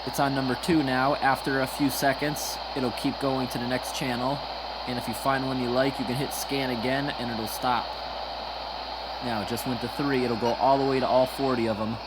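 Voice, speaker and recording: A man's voice: medium pitch, little pitch movement, normal speed. A man casually demonstrating a product in a plain, informal, explaining way. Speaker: gadget reviewer. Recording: noisy handheld amateur recording.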